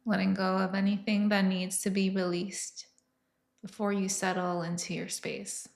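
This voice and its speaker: A woman leading a guided meditation with soft, soothing, unhurried instruction and long pauses between phrases. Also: medium pitch, flat delivery, creaky, echoey room.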